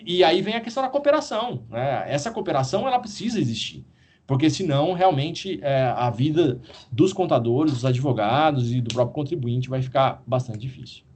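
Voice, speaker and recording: A man, a guest professor, explaining at a measured pace in a podcast discussion and often ending his points with a questioning tag. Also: medium pitch, expressive, a little mellow, husky. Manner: measured, explanatory, frequent "né?" tags.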